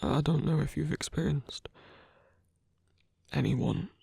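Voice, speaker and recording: A performer in an intimate ASMR roleplay, voicing a gentle, protective supernatural lover with a husky, breathy voice and a hesitant, earnest delivery. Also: medium pitch, slow, dry recording, studio quality, full character voice. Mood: reassuring, hesitant.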